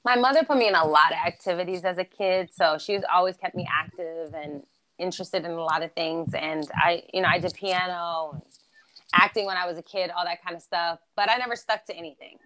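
A woman's voice: on the low side, fairly quick, a bit bright. A woman born in Germany with German as her first language, speaking English with an American East Coast accent in an upbeat, chatty, motivational way as an interview guest. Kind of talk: casual interview chat.

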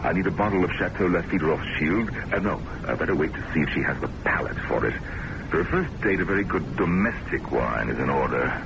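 An actor in an old-time radio drama playing a suave, self-important, middle-aged academic boss, wordy and patronizing. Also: male voice, deep voice, expressive, a little fast, creaky. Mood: genial, brisk. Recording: noisy old radio broadcast recording.

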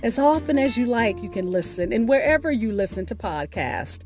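A woman hosting a podcast, speaking in a deliberate, expressive way with drawn-out emphasis, as if reading a prepared sign-off. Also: fairly high pitch, over music. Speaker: podcast host. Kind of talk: scripted podcast sign-off with a recited poem.

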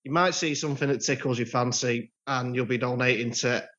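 A man's voice: mid-range voice, steady tone, brisk, a bit bright, dull audio. A man co-hosting a podcast with playful, joking banter. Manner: playful, jokey. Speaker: podcast co-host.

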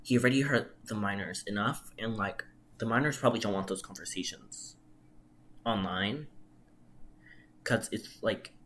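Bright-voiced podcast co-host with background noise. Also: medium pitch, normal speed, long pauses. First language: non-English first language. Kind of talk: casual opinionated podcast chat.

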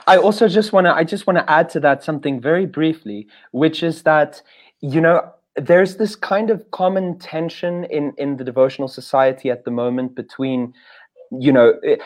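Earnest man with a slightly high voice. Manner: candid, trailing off softly. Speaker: devotee sharing experience. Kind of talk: earnest discussion.

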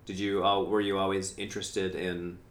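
A man hosts a podcast and asks his questions haltingly, often stopping to restart them. His voice sits low. The room gives it a clear echo. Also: little pitch movement. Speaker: podcast host, interviewer. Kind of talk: casual interview questions. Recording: echoey room.

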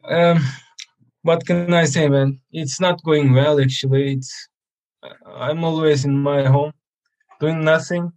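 A young man from Turkey speaks English with a Turkish accent as a podcast guest on a video call. He talks quite slowly in a fairly high voice, with hesitant, plain-spoken phrasing. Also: fairly even intonation, a little mellow, rough recording. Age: young adult. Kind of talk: casual chat.

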